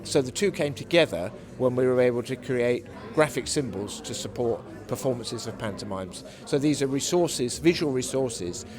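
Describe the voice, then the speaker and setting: Measured man interviewed amid background chatter. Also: medium pitch, expressive. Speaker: panellist, academic interviewee. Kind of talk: conversational interview answers. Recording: busy venue, people chattering behind. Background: crowd chatter.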